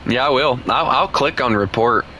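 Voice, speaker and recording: A man plays an online flight game with a friend and chats the whole way through, joking and needling his buddy. His voice sits in a middle pitch with a bright, forward edge. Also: moderate pace, slight background noise. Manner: playful, teasing, jokey. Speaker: gaming streamer. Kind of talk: casual gameplay chat with a friend.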